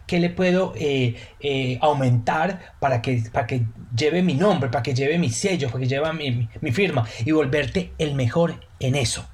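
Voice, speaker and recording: A man's voice: mid-range voice, moderate pace, a little hiss or hum. A Colombian man, a pastry chef, speaking as a podcast guest in an earnest way, often repeating words as he searches for them. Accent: Colombian Spanish. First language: Spanish. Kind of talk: casual podcast interview.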